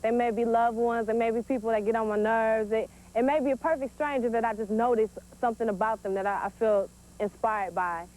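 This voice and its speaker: A woman's voice: on the high side, steady tone, a little fast, few pauses, a little mellow, rough recording. An eighteen-year-old American woman, a poet introducing herself and reciting spoken-word verse. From Wisconsin, United States. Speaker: teen poet.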